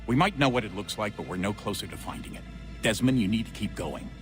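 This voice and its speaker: A man's voice: fairly low pitch, lively intonation, quick pace, natural voice. An actor playing a stern, short-tempered middle-aged father in a video game drama. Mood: exasperated. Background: loud music.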